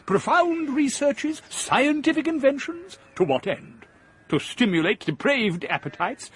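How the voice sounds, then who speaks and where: A fiery, scornful philosopher. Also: male voice, high voice, slightly rough, heavily acted. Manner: declamatory, scornful, oratorical. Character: impassioned gloomy male philosopher, fierce critic of progress. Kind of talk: 1980s radio drama acting.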